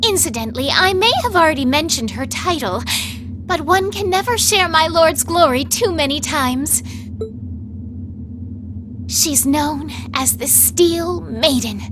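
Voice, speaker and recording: A woman's voice: high-pitched, animated, measured pace, background noise. A woman playing a proud, prickly young female knight in a video game, with haughty, emphatic and formal diction. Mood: boastful. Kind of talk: dramatic video game voice acting.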